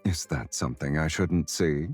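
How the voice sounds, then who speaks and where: Very deep, husky romantic lead. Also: male voice, normal speed, darkish tone, faint music behind. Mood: gently amused. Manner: smooth-talking, playfully teasing. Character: confident, teasing, tender male romantic lead on horseback journey. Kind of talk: romance game voice acting.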